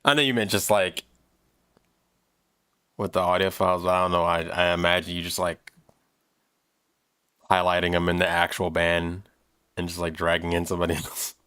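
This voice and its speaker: Low-pitched man rambling on a livestream. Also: level tone, sharp tone, clean tone. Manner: rambling, thinking aloud, halting repetitions. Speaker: livestream host and audio drama producer. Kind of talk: casual livestream chat while working.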